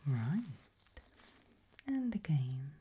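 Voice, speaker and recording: A woman whispering in a breathy voice, playing a polite, calmly creepy medical examiner in an ASMR roleplay. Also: sits low, very slow. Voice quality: breathy, mostly unvoiced whisper.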